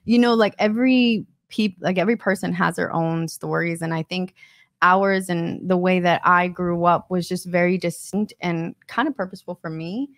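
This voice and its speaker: Low-pitched young American woman, casual storyteller. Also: steady tone, normal speed, dry recording, studio quality. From born in Ecuador, raised in North Carolina from age four. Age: young adult. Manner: informal, frequent "like". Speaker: podcast guest, painter and storyteller. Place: small podcast studio. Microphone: close studio microphone on boom arm.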